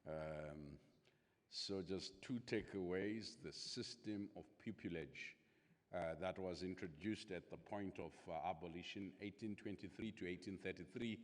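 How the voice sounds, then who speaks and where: A man's voice: sits low, measured pace. A man chairing a conference panel, adding his own reflective comments and posing rhetorical questions. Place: large conference hall. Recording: reverberant conference hall.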